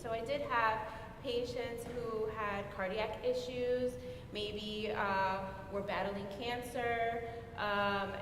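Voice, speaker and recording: A woman explaining her work as a nurse on a discussion panel. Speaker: panelist, former public health nurse. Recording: very echoey hall, rumbling background noise.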